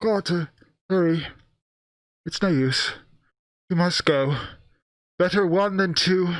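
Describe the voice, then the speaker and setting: A man voicing a terrified, despairing occultist who begs his friend to flee, in anguished, halting radio play melodrama. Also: high voice, no echo, voice pushed up. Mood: hopeless resignation. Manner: anguished, halting, drawn-out cries.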